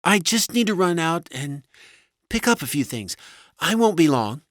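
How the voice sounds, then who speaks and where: A male narrator puts on a meek, long-suffering wife for an audiobook story. Her voice is lifted high and plaintive, fretful and swooping in pitch, with a dry sound. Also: very animated, normal speed, fairly bright tone, no room sound. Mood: meek, subdued.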